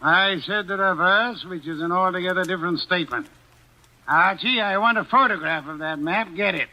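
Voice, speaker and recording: A man's voice: high voice, normal speed, sharp tone. A man playing a stout, imperious male detective in a 1940s radio drama, precise and commanding, on a dull old recording.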